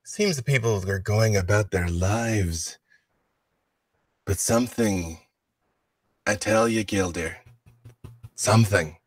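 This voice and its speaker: A man's voice: on the low side, slow, pauses often, no echo. A man voicing an anxious, winged woman warrior in armor during a tabletop game, talking hesitantly and trailing off. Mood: fretful. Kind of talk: tabletop roleplay in character.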